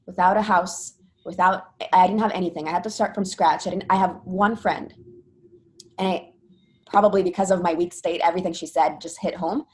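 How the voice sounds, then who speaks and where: Young woman rambling about herself, lots of um and like, lowish voice. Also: fairly even intonation, fairly quick, a little mellow. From Italy. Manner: chatty, rambling with frequent fillers and restarts. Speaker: interviewee, spin instructor. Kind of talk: casual interview talk.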